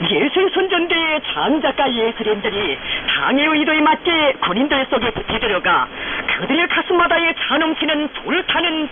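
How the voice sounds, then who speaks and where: A woman announcer with a North Korean accent delivers scripted state news with fervent reverence. Her high voice rises and falls in sweeping, declamatory phrases. It comes through a very noisy shortwave broadcast. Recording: noisy shortwave radio, narrow phone-like band.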